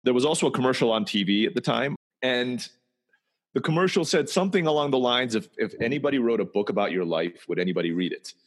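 A man who grew up in Turkey, telling his life story in a relaxed, conversational way, sometimes restarting and repeating words. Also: medium pitch, fast talker. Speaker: interview guest. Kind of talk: storytelling personal history.

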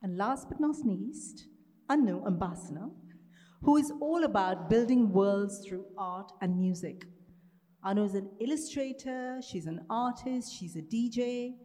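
A woman's voice: medium pitch, mellow. A woman moderating a live panel, introducing guests and asking questions in an upbeat way. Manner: upbeat, laughs at her own stumbles. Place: live event hall with audience. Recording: reverberant hall.

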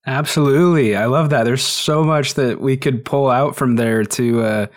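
A man's voice: medium pitch, normal speed. A man from Utah and Idaho hosting a podcast, encouraging and thinking aloud with restarts. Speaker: podcast host. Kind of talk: casual podcast conversation.

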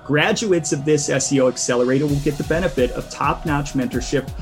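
A man hosting a podcast in a conversational interview style, with a halting delivery. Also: a little high, faint music behind. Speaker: podcast host.

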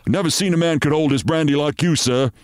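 A man's voice: fairly high pitch, fairly quick, smooth, no echo, studio quality, pitched above the performer's own voice, lightly acted. A man reading a story aloud and voicing an anxious, deferential army sergeant. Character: adult male army sergeant, anxious and dutiful.

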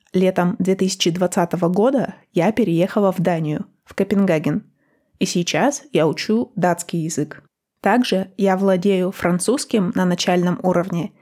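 Calm, mellow-voiced Russian podcast host woman. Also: lowish voice. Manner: calm, friendly and encouraging. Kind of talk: slow, clear podcast talk for language learners.

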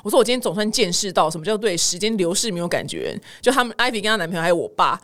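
Very animated young Taiwanese Mandarin woman, fast talker. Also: medium pitch. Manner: animated, exclaiming, acts out dialogue. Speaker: podcast host of a weekly news show. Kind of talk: casual chatty storytelling.

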